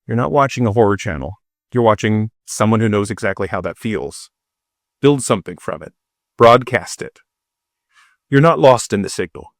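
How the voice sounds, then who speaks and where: A man delivering a scripted personal monologue as a channel host, solemn and deliberate, with dramatic pauses between short phrases. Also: lowish voice, lively intonation, no room sound, studio quality. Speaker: video channel creator and host.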